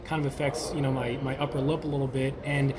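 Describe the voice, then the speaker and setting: A man's voice: medium pitch, level tone, fast, a crowd in the background. A man in his twenties from Sweden, a podcast guest talking casually and enthusiastically. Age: 20s. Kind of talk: casual interview chat.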